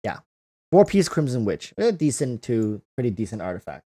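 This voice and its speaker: A man's voice: medium pitch, big pitch swings, moderate pace, mellow. A man hosting a casual livestream, reading out and answering viewer comments, often repeating phrases as he thinks aloud. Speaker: livestream host.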